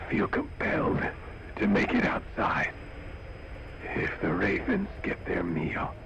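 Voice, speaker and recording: An actor playing an infected laboratory staffer in a game, desperate yet grimly resolute, halting and strained as he records a confession. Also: deep voice, highs cut off, noisy background, heavily acted. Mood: grim resolve.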